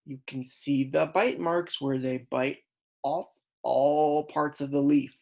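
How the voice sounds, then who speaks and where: Female kindergarten teacher, low register, unhurried read-aloud for small children, deliberate emphasis on key words. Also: fairly even intonation, gravelly, darkish tone.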